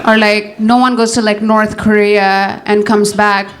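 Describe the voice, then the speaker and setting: A young adult woman from Nepal doing a stand-up comedy set, with a deadpan delivery that keeps tacking on "you know?" and "all right?". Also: mid-range voice, unhurried, heavy background noise. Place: comedy club stage with laughing audience.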